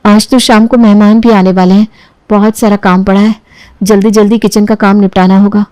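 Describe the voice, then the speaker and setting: A woman's voice: medium pitch, level tone, a little fast, dark tone, clean tone, no room sound, close to an everyday voice. A woman voicing a meek, overworked young daughter-in-law who speaks earnestly and deferentially in an audio story. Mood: anxious, meek.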